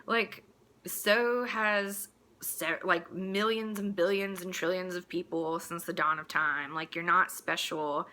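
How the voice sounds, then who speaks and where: A woman's voice: on the low side, fairly even intonation, slow, pushed and bright, a little hiss or hum. A woman in a casual, opinionated rant, speaking in a blunt, crude and exasperated manner with mocking asides. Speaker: host delivering a personal rant.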